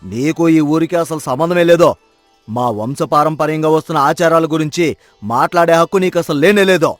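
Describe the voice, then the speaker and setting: A man's voice: a little high, moderate pace, no echo, faint music behind, lightly acted. A man voicing an angry village headman, scolding and commanding, in a dramatized story. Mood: angry, threatening. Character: stern, angry male village headman guarding tradition.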